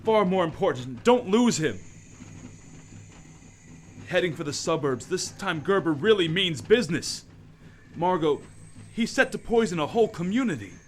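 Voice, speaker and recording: A determined crime-fighting hero, played by a man in a community radio play. His voice sits fairly high, with an urgent, commanding delivery. A faint hiss runs under it. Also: sing-song, moderate pace, long pauses. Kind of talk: amateur radio play acting.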